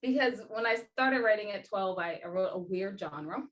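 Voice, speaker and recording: A woman's voice: mid-range voice, expressive, moderate pace. A young woman from the United States, a guest author telling stories playfully with self-mocking jokes in a casual interview.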